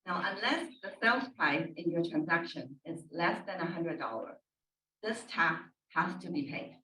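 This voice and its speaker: A middle-aged woman speaking as an escrow officer on a panel, friendly and measured. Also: fairly low pitch, lively intonation, bright, forceful tone. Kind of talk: panel talk. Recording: echoey room, distant mic.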